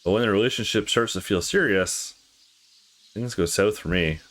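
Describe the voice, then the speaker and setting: A man voicing a troubled, candid young visual novel character who confides past heartbreak in a halting, confessional way. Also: fairly low pitch, fairly bright tone. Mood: subdued, candid.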